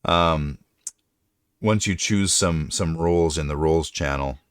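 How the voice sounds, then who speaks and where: A middle-aged man with a deep voice, hosting a casual livestream chat and rambling through his thoughts with frequent restarts. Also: unhurried, clear, resonant tone, dry recording, clean studio sound. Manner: rambling, self-correcting. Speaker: livestream host.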